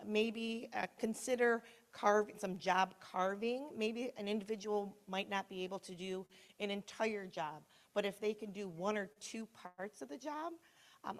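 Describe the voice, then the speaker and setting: Panelist, female, mid-range voice, encouraging, a bit hesitant. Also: medium pitch. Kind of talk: panel discussion.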